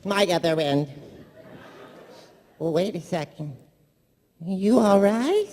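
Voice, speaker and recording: High, halting cartoon rogue. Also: male voice, lively intonation, warm tone, big-room sound, exaggerated voice. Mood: comic, halting. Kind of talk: comic live script reading.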